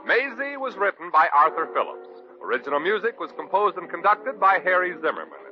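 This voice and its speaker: A man's voice: high voice, big pitch swings, a little fast. A man reading scripted announcements as a 1950s radio announcer. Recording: dull old radio broadcast recording. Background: loud music.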